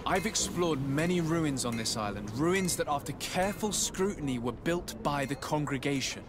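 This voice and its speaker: An actor playing a polite, earnest young noble emissary in a video game, speaking formally and diplomatically. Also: male voice, a little high, expressive, normal speed, over music, lightly acted. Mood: courteous, earnest. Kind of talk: video game dialogue acting.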